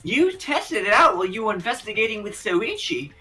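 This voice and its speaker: A woman's voice: mid-range voice, big pitch swings, brisk, bright, forceful tone, faint music behind. A woman voicing an eager teenage student in an anime game fan dub.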